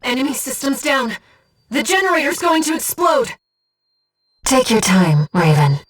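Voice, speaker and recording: A woman voicing a disembodied female guide who speaks in a pilot's head as mission operator in a video game, with a soft, breathy voice and a precise, earnest delivery. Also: sits high, sing-song, a little slow, recorded from a distance, full character voice. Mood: urgent, alert, then soft, sorrowful. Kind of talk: scripted video game voice lines, mission briefings and battle callouts.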